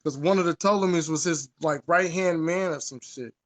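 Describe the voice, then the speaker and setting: A man's voice: on the high side, normal speed. A man talking casually and informally about history, repeating phrases for emphasis and swearing now and then.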